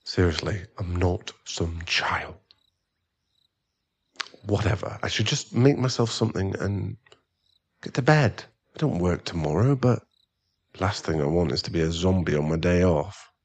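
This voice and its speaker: A man plays an irritable sugar daddy boyfriend in an ASMR roleplay. He talks slowly and haltingly, sighing in exasperation, and his pitch swings widely as he pleads for space. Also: expressive, slightly rough, full character voice. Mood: weary, annoyed.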